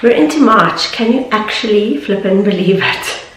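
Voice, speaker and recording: A woman's voice: mid-range voice, lively intonation, moderate pace, fairly bright tone. Chatty woman, slightly nasal. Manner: chatty, earnest, laughs easily. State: slightly nasal from hay fever. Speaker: vlogger and confidence coach. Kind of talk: casual talk to camera. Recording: echoey room.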